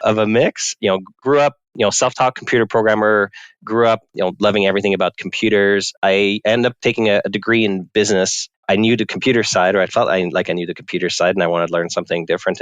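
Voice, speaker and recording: A man from Canada, a company founder, answering interview questions in a relaxed, rambling way. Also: lowish voice, no room sound.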